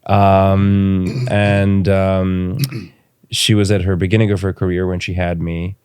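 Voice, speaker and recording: A man from Romania, a native Romanian speaker, speaking reflectively as an interview guest and pausing thoughtfully to choose his words. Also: low voice, flat delivery, darkish tone, clear, resonant tone, dry recording. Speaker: podcast guest, filmmaker.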